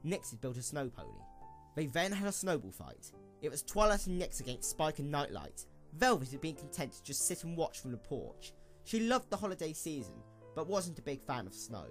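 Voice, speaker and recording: A man narrating a scripted story as an expressive storyteller for an audio drama. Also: a little high, normal speed, soft background music.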